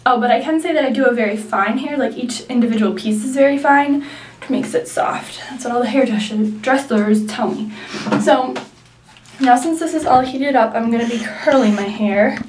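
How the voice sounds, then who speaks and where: High-voiced young woman, stuffy nasal, rambling hair vlog, echoey room, mic kinda far. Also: moderate pace, a little mellow, a little hiss or hum. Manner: chatty, rambling, lots of likes and ums. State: nasal from a sinus infection. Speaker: beauty vlogger. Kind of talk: casual hair tutorial.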